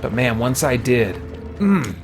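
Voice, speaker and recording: A man's voice: mid-range voice, big pitch swings, measured pace, noisy background. A man chatting casually as he streams a game, rambling and thinking aloud as he plays. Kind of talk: casual live-stream chat and game commentary. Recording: music playing behind the voice. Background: game music.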